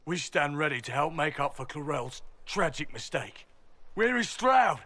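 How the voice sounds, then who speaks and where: Bright, earnest male soldier. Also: a little high, sing-song, a little slow, heavily acted. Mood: dutiful. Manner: formal, earnest, deferential. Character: earnest, dutiful male soldier reporting to his leader. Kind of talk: dramatic video game cutscene acting.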